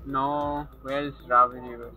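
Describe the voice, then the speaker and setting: A man's voice: mid-range voice, flat delivery, unhurried, sharp tone. A man calling as a sales caller, insistent and repeating his script. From India. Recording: tinny phone line with music.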